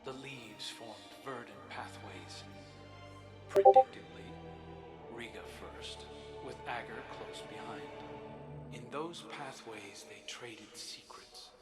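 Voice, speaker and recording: A man playing a wistful, brooding brother in a game, telling a fable softly and in measured phrases. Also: mid-range voice, over loud music, close to an everyday voice. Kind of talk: storytelling monologue in a game.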